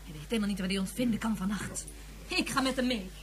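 A woman's voice: medium pitch, fast talker, lightly acted. A woman playing a protective, caring figure in a science fiction radio drama, earnest and concerned. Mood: protective, upset.

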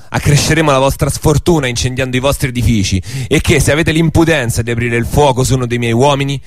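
Male actor as defiant rebel leader, mid-pitched, deliberate, declamatory, threatening, dry studio sound. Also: no room sound, close to an everyday voice. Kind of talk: radio drama monologue.

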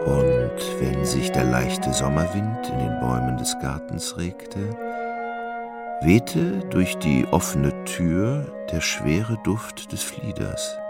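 A man delivers literary narration for a radio play. His voice is very low and creaky, with unhurried pacing and music clearly behind him. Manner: measured, descriptive storytelling.

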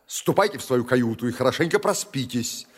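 Commanding sea captain played by a male actor for audio theatre: stern, declamatory, animated pitch, hall reverb. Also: a little fast, husky. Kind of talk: theatrical poetry monologue acting.

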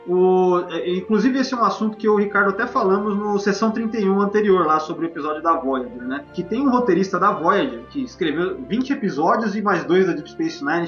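Brazilian guy podcast host, high voice, rambly, lots of tag questions, music underneath. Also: a bit bright. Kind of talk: casual podcast chat. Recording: echoey room, dull lo-fi line, music bed.

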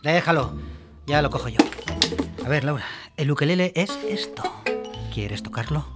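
An actor playing a vain, grumpy, bluffing music producer in a comedic radio drama, with a boastful and hesitant way of talking. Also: male voice, a little high, expressive, moderate pace, lightly acted. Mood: irritated, bossy. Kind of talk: comedic radio fiction dialogue.

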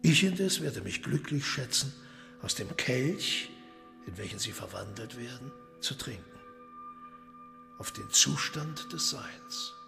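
An actor in a German radio play voicing a suave, courteous but menacing devil lord with a ceremonious, deliberate manner. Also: male voice, mid-range voice, lively intonation, vocal fry. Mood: solemn, mock-gracious. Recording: long hall reverb under loud music.

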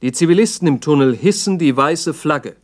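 A man narrating a 1960s documentary radio drama in a sober, factual manner with measured diction. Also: fairly high pitch, lively intonation.

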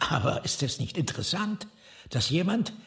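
A man's voice: on the high side, big pitch swings, a little slow, a bit bright, full character voice. An actor playing a cynical, eccentric man who philosophizes in a sardonic, declamatory way in a radio drama. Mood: mocking. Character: cynical, eccentric philosophizing brother, excitable and caustic.

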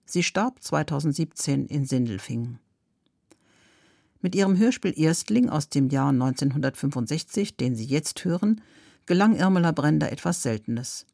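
A man giving a scripted radio introduction as presenter, in a measured, informative manner. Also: a little high, animated, creaky, dark tone, dry recording, clean studio sound.

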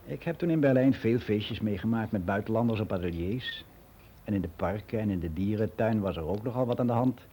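Actor as mellow older man reminiscing, faint hiss. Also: on the low side, fairly quick, natural voice. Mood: candid, reminiscing. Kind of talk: radio play monologue as a personal testimony.

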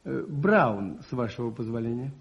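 An actor playing a mild, modest priest-detective in a radio play, hesitant and self-effacing with gentle irony. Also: male voice, mid-range voice, expressive, normal speed, vocal fry. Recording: muffled 1981 radio recording.

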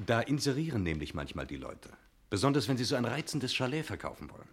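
An actor in a 1960s German radio play, playing a breezy, talkative stranger who is glib, jovial and evasively polite. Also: male voice, mid-range voice, sing-song, fast talker, slightly rough. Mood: glib, philosophising. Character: breezy, talkative male stranger claiming to own the chalet.

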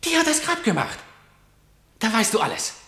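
An actor playing a blunt, excitable guard who gives emphatic, agitated reports in a verse tragedy radio play. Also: male voice, very high voice, moderate pace, reverberant, heavily acted. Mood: agitated, triumphant. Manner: emphatic, breathless storytelling, swinging from cries to muttering.

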